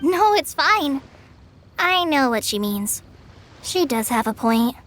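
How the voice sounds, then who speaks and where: A woman voicing a gentle, earnest young girl who loves nature, in a video game. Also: sits high, sing-song, slow pace, full character voice.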